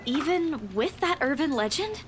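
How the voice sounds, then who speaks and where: A woman's voice: high-pitched, animated, a little slow. A woman playing an eager, earnest young real estate agent in a visual novel. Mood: surprised, flustered.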